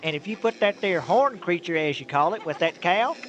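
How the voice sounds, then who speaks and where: A man's voice: sits high, animated, brisk, a bit bright. A man playing a friendly, rambling country trucker with a Southern US accent in a comic radio drama. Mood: helpful, chatty. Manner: rambling, homespun. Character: friendly, folksy, good-natured country trucker. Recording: noisy radio drama recording.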